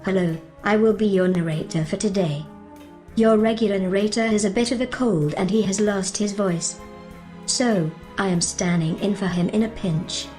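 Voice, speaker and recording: A woman's voice: mid-range voice, moderate pace, mellow, close to an everyday voice. A woman playing a polite stand-in narrator, reading scripted narration for an audio serial. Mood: matter-of-fact. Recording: music under the voice.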